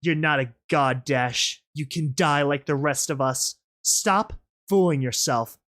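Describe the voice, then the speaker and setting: A woman's voice: deep voice, a little slow, fairly bright tone. A woman voicing a defiant, desperate female pony rebel leader in a story, earnest, resolute and commanding. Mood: firm defiance.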